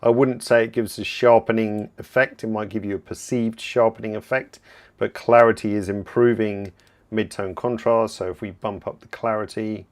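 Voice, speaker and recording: Slightly hoarse man teaching software live. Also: lowish voice, moderate pace. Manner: relaxed, explanatory, thinking aloud while working. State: slightly hoarse, clearing throat. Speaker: software trainer hosting a livestream. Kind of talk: live software tutorial with viewer Q&A.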